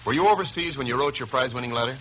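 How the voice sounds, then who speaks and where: An American male announcer from vintage radio, with a bright, forceful voice of medium pitch. His delivery is formal, emphatic and scripted, with faint noise on the old recording. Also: very fast, poor audio. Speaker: radio announcer and sponsor spokesman. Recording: old radio broadcast with hiss.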